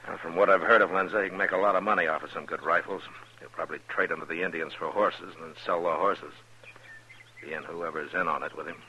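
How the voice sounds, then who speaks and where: Deep-voiced, terse frontier marshal. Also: male voice, fairly even intonation, fast talker, sharp tone. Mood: watchful. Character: stern, watchful frontier marshal of the Old West. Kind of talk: 1950s radio western acting. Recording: muffled, noisy old radio recording.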